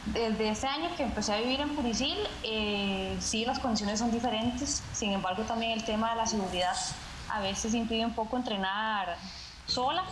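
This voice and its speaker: A Costa Rican woman, a mountain runner, speaking from home over a video call in a measured way, often adding "¿verdad?". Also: on the high side, noisy background. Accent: Costa Rican Spanish. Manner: measured, fills pauses with "¿verdad?". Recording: echoey video call audio with music.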